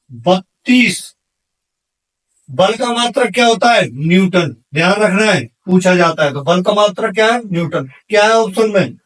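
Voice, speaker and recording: A man's voice: high voice, a bit bright, far from the mic, low-quality recording. A man teaching exam problems step by step, asking questions and answering them himself in an instructional manner. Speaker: physics teacher for exam candidates.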